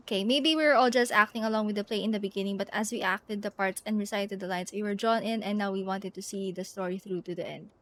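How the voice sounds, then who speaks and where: A woman streaming a game live, chatting casually and giggly as she thinks out loud and reads bits of text. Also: mid-range voice, fairly even intonation, fast talker, hardly any pauses, no room sound.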